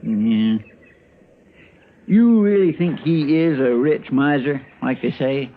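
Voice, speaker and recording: A man's voice: mid-range voice, expressive, slow. A man with a rural American drawl playing a folksy, plainspoken, eager young sidekick in an old-time radio western. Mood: chatty, earnest. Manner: plainspoken, homespun, deferential. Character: folksy, hungry, grumbling young frontier sidekick, eager and simple. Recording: tinny, noisy old radio recording.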